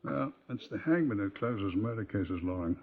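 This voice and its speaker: Droll superintendent, very animated intonation. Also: male voice, lowish voice, normal speed. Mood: droll, pointed. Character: shrewd, musing senior police superintendent, fond of quotations. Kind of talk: 1950s radio crime drama dialogue. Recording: muffled, noisy 1950s radio recording.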